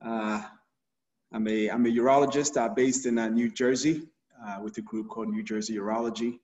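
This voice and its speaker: A middle-aged man from Ghana, a urologist, giving an informal health talk over a video call, halting with many fillers and restarts. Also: fairly low pitch, steady tone, a little mellow. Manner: hesitant, many fillers and repeated starts. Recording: roomy, distant, dull video-call audio.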